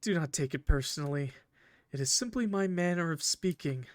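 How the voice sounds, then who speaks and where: A man voicing a possessive, coldly polite villain from a visual novel, speaking formally and theatrically in measured, condescending phrases. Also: a little high, lively intonation, dry recording, clean studio sound, natural voice. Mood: brooding, solemn. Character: possessive, coldly polite male villain, eerie train conductor. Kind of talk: visual novel character reading.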